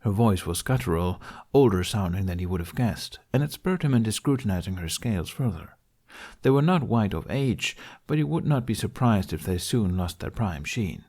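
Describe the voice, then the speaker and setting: Low-pitched male audiobook narrator. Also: animated, moderate pace, darkish tone. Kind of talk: scripted fantasy story narration.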